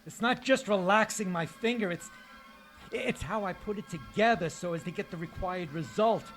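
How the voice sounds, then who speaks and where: A man plays a mad bomber with a high, bright voice in a vintage radio thriller. He is grandiose and obsessive, stammering in rapture. Music plays behind him. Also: normal speed. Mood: proud, excited. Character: unhinged male bomber, grandiose, raptly obsessed with imagined music. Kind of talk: 1940s radio detective drama acting. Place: live stage performance in a hall.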